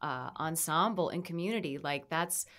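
Woman radio host, kinda low voice, friendly and eager with her guest. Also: moderate pace, fairly bright tone. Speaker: radio arts show host. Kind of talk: radio interview. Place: radio studio.

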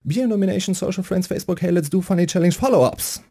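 A man's voice: sits high, quick pace, dark tone, no room sound, clean studio sound. A man voicing a funny internet video narrator in a comic voice-over, rattling off clipped phrases. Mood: brisk, comic.

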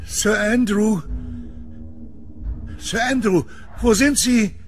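An actor playing an anxious, alarmed man in a horror radio drama, halting and pleading in manner. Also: high-pitched, faint music behind. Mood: frightened. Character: anxious, alarmed man, devoted dog owner.

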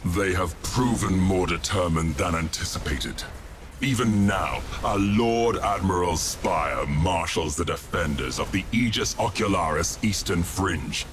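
A man playing a cold, calculating warlord of a dark fleet in a game cutscene, speaking in a measured, imperious way. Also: low-pitched, lively intonation, background noise. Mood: grim, measured. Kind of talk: dramatic video game cutscene acting. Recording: music under the voice.

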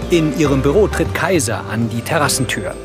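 A man's voice: mid-range voice, animated, brisk. A man reading scripted scene descriptions as the narrator of a crime series. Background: music.

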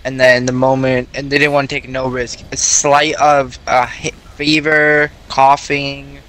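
Guy doing a halting shaken zombie survivor, flat middle voice, game roleplay. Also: mid-range voice, close to an everyday voice. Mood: shaken, weary. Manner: halting, stumbling over words. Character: shaken male survivor recounting military killings. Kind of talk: improvised game roleplay drama.

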